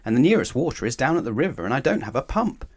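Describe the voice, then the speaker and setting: Man reading as a fretful, hurried storybook farmer. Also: mid-range voice, big pitch swings, voice pushed up. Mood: worried, flustered. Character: worried, perplexed male farmer, grateful and flustered. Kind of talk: children's storybook character reading.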